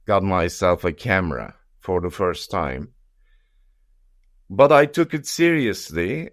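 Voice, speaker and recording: A middle-aged man from Istanbul with a Turkish accent, telling his own story as an interview guest in a measured, deliberate way. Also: lowish voice, no echo, clean studio sound. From Istanbul, Turkey. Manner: measured, deliberate, pausing between phrases. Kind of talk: conversational personal storytelling in an interview.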